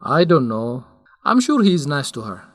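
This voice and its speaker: A man's voice: on the high side, very animated, moderate pace, no room sound. A man acting as a cautious, lovelorn young friend in a radio drama, speaking earnestly. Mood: cautious, wistful. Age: young adult. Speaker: actor in an educational radio drama.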